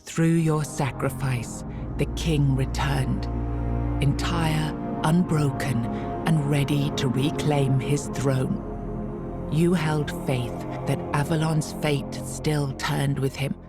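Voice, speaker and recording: Solemn fantasy game herald, acted: slow, declamatory, portentous, with loud ominous score. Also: mid-range voice, steady tone, lightly acted. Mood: solemn proclamation. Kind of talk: scripted dramatic game narration. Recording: loud ominous music over voice.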